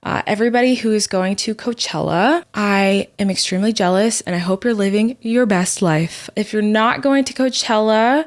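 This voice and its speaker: Female podcast host, bubbly and slangy, voice half gone from illness. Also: mid-range voice. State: losing her voice. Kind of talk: casual podcast intro.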